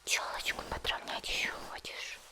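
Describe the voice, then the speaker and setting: A woman playing a sweet, chatty young anime character in an ASMR roleplay, whispering softly and gently as she tends a friend's hair. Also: close to an everyday voice. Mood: tender, doting. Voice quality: soft, breathy whisper. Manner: gentle, unhurried, cooing.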